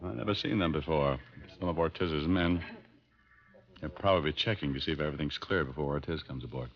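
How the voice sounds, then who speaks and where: A man's voice: a bass voice, a little fast, a loud crowd. An American man playing a tough, wry private detective in old radio crime drama, with clipped, dry delivery. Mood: dry, steady. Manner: clipped, hard-boiled, dry. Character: tough, wry male private detective narrating his case. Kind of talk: 1940s-50s radio crime drama acting and narration. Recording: dull old radio recording with music.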